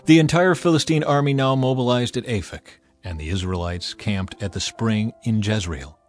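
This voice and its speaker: A man narrating scripture in a measured, even reading. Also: on the low side, soft background music.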